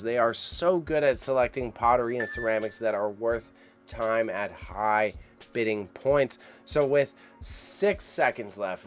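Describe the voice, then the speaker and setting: A man hosting a casual auction livestream, chatty and excitable as he rambles to his chat, with a mild impediment on sh and ch sounds. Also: mid-range voice. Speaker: livestream host reacting to an online auction. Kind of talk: casual live stream commentary.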